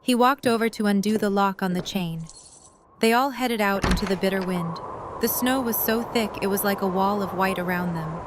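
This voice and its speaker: A woman's voice: medium pitch, normal speed. A woman reading scripted story narration for an audio drama. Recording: noisy storm ambience behind.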